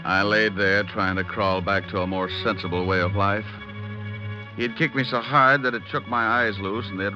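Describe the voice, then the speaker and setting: An American actor playing a wry, slangy private detective in a 1940s-style radio drama. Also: male voice, sits low, lightly acted. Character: hard-boiled wisecracking male private detective. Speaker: lead actor and narrator. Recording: muffled old radio broadcast, music.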